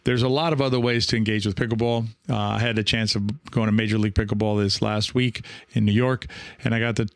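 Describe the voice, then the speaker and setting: A man's voice: fairly low pitch, steady tone, fast, dry recording. A man hosting a coaching podcast in a casual monologue, speaking in a hesitant, rambling way as he thinks aloud. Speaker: podcast host and pickleball coach.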